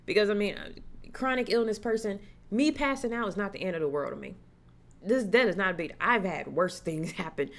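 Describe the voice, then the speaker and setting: A young woman vlogger telling a personal story in a casual, animated way, slipping into the voices of the people she quotes. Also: medium pitch, fairly quick, gravelly. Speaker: horror vlogger.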